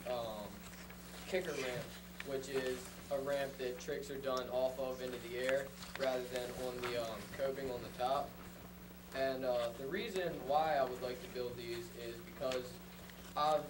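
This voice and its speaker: Young male resident, informal hesitant presenter, medium pitch, recorded at a distance. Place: council meeting room, far camera. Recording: distant room mic, loud background noise.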